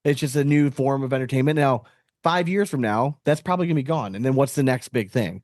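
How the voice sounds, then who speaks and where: A man hosts a podcast in a casual, conversational way. His voice sits at a medium pitch and is recorded close and dry. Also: fast talker, darkish tone, no echo. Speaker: podcast host.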